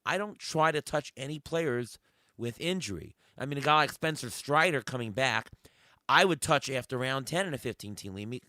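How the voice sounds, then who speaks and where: A hoarse man hosting a sports podcast, firing off questions and thinking aloud in casual chat. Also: medium pitch, expressive, normal speed, bright, forceful tone, no room sound. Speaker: podcast host.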